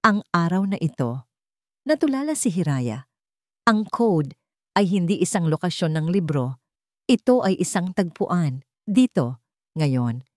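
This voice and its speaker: A woman's voice: fairly low pitch, big pitch swings, unhurried, pauses often, darkish tone, no room sound, clean studio sound. A woman narrating a scripted story in a dramatic storytelling style.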